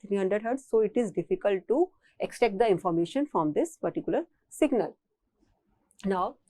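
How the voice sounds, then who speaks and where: A woman's voice: fairly high pitch, no echo. A woman with an Indian accent giving a recorded technical lecture as a college lecturer, explaining and rephrasing her points.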